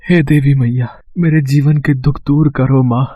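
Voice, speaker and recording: A man's voice: mid-range voice, fairly even intonation, normal speed, mellow, husky, dry recording, natural voice. A man playing a sorrowful, devout rickshaw puller in a cartoon moral story, plaintive and weary. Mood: weary, sad.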